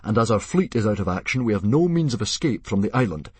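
A man doing a booming Viking chief. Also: sing-song, fast talker, husky, dry recording, full character voice. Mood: commanding. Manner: booming, commanding. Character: Viking chief, gruff, self-important.

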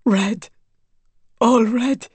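A woman's voice: mid-range voice, expressive, moderate pace, dark tone, slightly rough, dry recording. A woman voicing a horrified man on the edge of madness, in anguished exclamations, for a dramatic story reading. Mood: stunned horror. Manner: anguished exclamations, rising toward screams. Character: horrified young man, frantic and near madness.

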